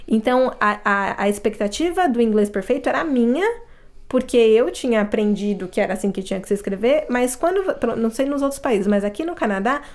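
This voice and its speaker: A woman's voice: a little high, expressive, brisk. A Brazilian woman with a Brazilian Portuguese accent, a guest telling her stories in a casual interview, reflective, often restarting her sentences. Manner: reflective storytelling with frequent restarts.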